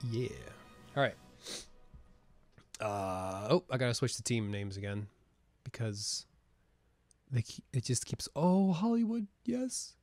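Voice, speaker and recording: A man casting a tournament match of a video game, with excited play-by-play and casual asides, sniffling from a cold. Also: mid-range voice, slow pace, long pauses, no echo, faint music behind. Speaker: esports caster. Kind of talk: game commentary.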